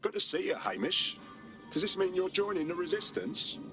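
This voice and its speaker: A man's voice: high voice, big pitch swings, normal speed. A man playing a wry, urbane AI assistant in a game, dry, arch and articulate. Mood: dry amusement. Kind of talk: game cutscene dialogue. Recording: music behind the voice.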